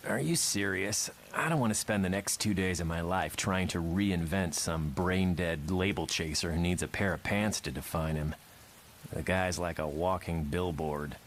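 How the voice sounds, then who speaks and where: Very low male voice with faint noise around it. A man plays a big, bookish motion comic hero, fussy and articulate. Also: normal speed. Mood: disdainful. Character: erudite, picky, big male mutant student hero.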